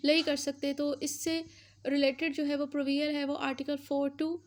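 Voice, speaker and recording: A woman with a Pakistani accent teaches as a law lecturer. Her voice is high and stays on a narrow, even line. She explains step by step, circling back over points and dropping in English terms. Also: normal speed. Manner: repetitive, uses English legal terms mixed in. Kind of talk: explanatory lecture, recapping legal articles.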